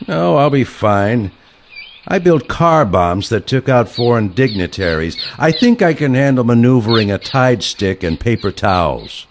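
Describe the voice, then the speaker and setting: A man playing a principled, weary older assassin in an audio play, speaking in a dry, terse, deadpan manner. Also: mid-range voice, unhurried, slight background noise. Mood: dry, resigned.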